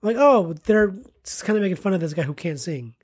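A woman's voice: on the low side, lively intonation, brisk, darkish tone, breathy edge. A woman co-hosting a casual film discussion, chatty and often searching for words.

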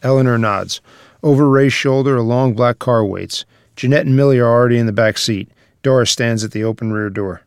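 Male narrator, mid-pitched, reading scripted stage directions, dry recording. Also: a little fast, clean tone, no room sound.

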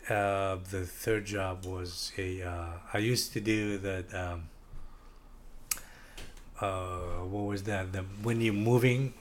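A man with a Russian accent, a guest in a casual chat, speaking in halting English and searching for words. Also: low voice, fairly even intonation, a little hiss or hum.

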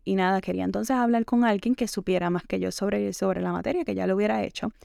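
A woman's voice: medium pitch, fairly even intonation, fast talker, gravelly, a little mellow, dry recording, studio quality. A young adult woman hosting a podcast, talking through a reflective self-help monologue in a conversational way, leaning on the filler "entonces" and dropping in English words. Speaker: podcast host.

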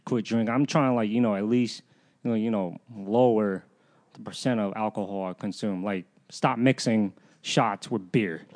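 Male podcast guest, rambling, halting delivery. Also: on the low side, fairly even intonation, a little mellow. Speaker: comedy producer, podcast guest. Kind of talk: casual podcast chat.

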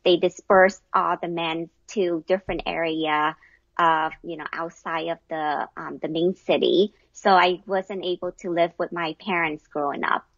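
A woman's voice: lowish voice, fairly even intonation, a little slow, fairly bright tone, no echo, highs cut off. A middle-aged woman from Vietnam, answering casually as an interview guest. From Vietnam, moved to the US at eleven.

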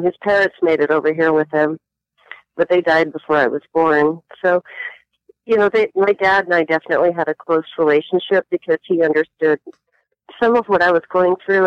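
Smooth-voiced reflective woman, dull lo-fi sound. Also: lowish voice, no room sound. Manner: reflective, hesitant with many fillers. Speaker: interview guest. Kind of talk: personal storytelling interview.

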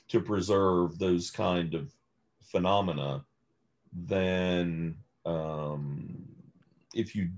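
Male interview guest, academic type. Deep voice, unhurried and deliberate delivery. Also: a little mellow. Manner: measured, deliberate, scholarly. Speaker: guest, theologian and author. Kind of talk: thoughtful interview conversation.

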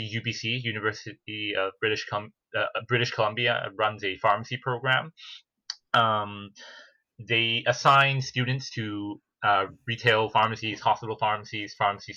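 A young man from Hong Kong, a pharmacy manager talking as a podcast guest, earnest and halting, with many filler pauses. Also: fairly low pitch, bright, forceful tone, no echo. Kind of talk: casual podcast conversation. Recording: slightly dull lo-fi audio.

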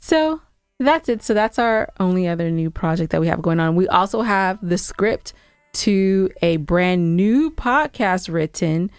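A woman's voice: lowish voice, expressive, unhurried, clean tone, no room sound, studio quality. An American woman co-hosting a podcast in casual, unscripted banter, chatty and informal. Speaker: podcast co-host.

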